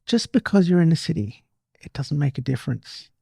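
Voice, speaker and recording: An Australian man hosting a podcast, chatting casually and telling stories in an animated way, repeating himself for emphasis. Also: a little high, brisk, gravelly, slightly rough, no echo, clean studio sound. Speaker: podcast host. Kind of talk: casual interview chat, storytelling.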